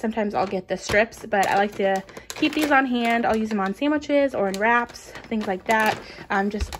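A young woman with a fairly high voice chats about her groceries in an upbeat, casual way, full of "um" and "like." She talks to a camera on her kitchen counter, with a faint hiss under the sound. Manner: chatty, upbeat, frequent "um" and "like". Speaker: lifestyle vlogger. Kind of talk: casual grocery haul chat. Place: home kitchen, indoors. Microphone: camera on counter, medium distance.

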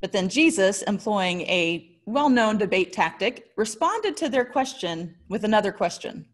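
An American woman in her thirties giving a prepared but conversational sermon as a pastor, with expressive, articulate storytelling. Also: mid-range voice, a little slow. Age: 30s. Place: small indoor room.

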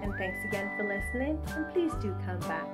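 A woman reading a picture book aloud to children, with slow, deliberate and expressive phrasing. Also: medium pitch, over loud music. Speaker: children's story reader. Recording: tinny, phone-like narrow-band audio.

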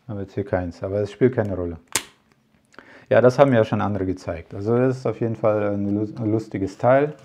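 A man's voice: lowish voice, a little fast, warm tone, a little hiss or hum. A man talking casually to camera as a tech vlogger, rambling with many fillers and asides. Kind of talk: casual product talk to camera.

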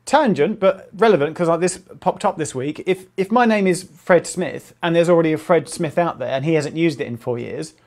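A man in his thirties, an animated and jokey co-host in casual podcast chat. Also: a little high, brisk, few pauses. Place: small home room.

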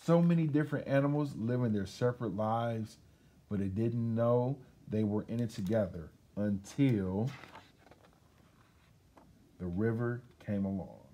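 A man's voice: fairly low pitch, a little slow, dark tone. A middle-aged man from Detroit with an American accent, casually reading a children's picture book aloud indoors. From Detroit, United States. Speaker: guest storybook reader, radio station employee. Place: school library, seated indoors.

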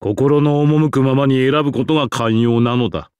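A man's voice: mid-range voice, moderate pace, darkish tone, clean tone, dry recording, studio quality, lightly acted. A man voicing a proud, genial old king in a game, with a stately, archaic way of speaking. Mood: approving, genial. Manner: stately, archaic, self-satisfied. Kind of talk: Japanese game character voice acting.

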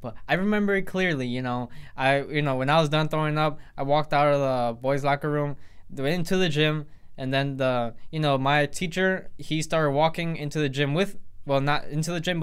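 A teenage boy talks straight into the camera, rambling through a story with plenty of "you know"s and false starts. His voice is smooth and clear, sitting a little high for a guy. He sounds like he is recording a casual vlog at home. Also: a bit bright. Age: teens. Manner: rambling, full of fillers and restarts. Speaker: vlogger. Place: indoors in front of a plain grey backdrop.

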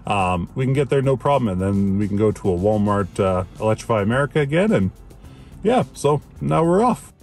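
A man's voice: fairly low pitch, very animated, a little fast, creaky, a little mellow, faint music behind. A man in his thirties, chatty and enthusiastic, filming himself from inside a parked car with a phone at arm's length. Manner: chatty, enthusiastic, many fillers. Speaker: EV road trip vlogger. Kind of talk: casual vlog talk to camera. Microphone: phone camera at arm's length.

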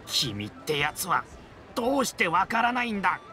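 A man's voice: sits high, moderate pace, over music. An actor playing a pushy, smug middle-aged male reporter in a video game, with an oily, scornful way of talking. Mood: irritated, scolding. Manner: oily flattery turning to sneering scorn. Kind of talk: dramatic Japanese video game voice acting.

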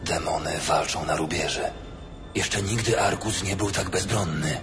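A man as devoted knightly hero, very low voice, assured, fantasy audio drama, reverberant, music behind. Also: moderate pace, lightly acted. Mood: calm, resolute. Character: steadfast, devoted human paladin hero.

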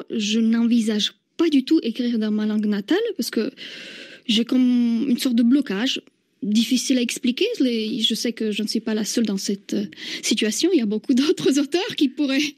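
Hesitant Slovak woman, fairly high voice. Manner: thoughtful, hesitant, frequent restarts and soft laughs. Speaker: interviewed novelist. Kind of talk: recorded radio interview.

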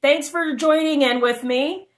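A young adult woman reading aloud for children in an exuberant, sing-song chanting way with drawn-out words. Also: high-pitched. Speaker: host of a children's read-aloud channel. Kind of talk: animated children's picture-book read-aloud. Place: small furnished room indoors.

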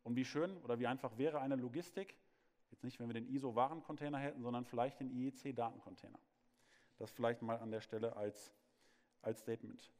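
A man's voice: mid-range voice, fairly quick, a little mellow. A man in his thirties giving a conference keynote on stage, talking freely with fillers and restarts. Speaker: keynote speaker. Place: large hall on a conference stage. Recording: long hall reverb.

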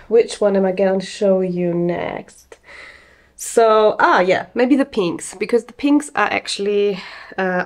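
A young woman presenting her hand-dyed yarns in a casual, chatty way, pausing often with fillers and restarts, a little sniffly from hay fever. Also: mid-range voice. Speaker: yarn dyer presenting her collection.

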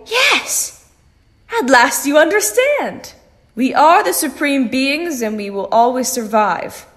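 A woman's voice: high voice, expressive, slow pace, fairly bright tone, full character voice. A woman playing a bossy, sardonic character who gives commands theatrically in an audio drama. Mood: imperious. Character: bossy, sardonic woman ordering a pet Dalek around.

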